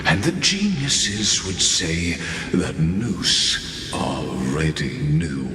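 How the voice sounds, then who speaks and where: Game villain: ancient, solemn machine scholar, low-pitched, cavernous reverb, theatrical pauses. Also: male voice, heavily acted. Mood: bitter. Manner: slow, theatrical monologue with long pauses. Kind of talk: dramatic video game acting. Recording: echoey hall reverb under loud music.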